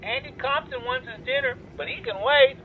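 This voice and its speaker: A man's voice: extremely high pitch, huge pitch swings, moderate pace, full character voice. A man playing a polite, naive living teddy bear in an audio drama, heard as if over a telephone. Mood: polite, friendly. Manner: courteous, naive. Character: polite, earnest living teddy bear leading hostage takers. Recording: tinny phone line with background noise.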